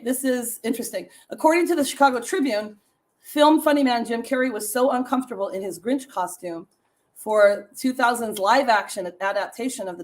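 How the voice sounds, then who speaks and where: A middle-aged woman with a raspy voice hosting a casual live stream, chatting with viewers and reading trivia and short stories aloud. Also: mid-range voice. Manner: chatty, laughs often, reads facts and stories to viewers.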